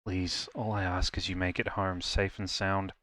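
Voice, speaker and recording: A man voicing an earnest young male defense attorney in a live fan dub of a game, dry and quick. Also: sits low, level tone, fairly bright tone.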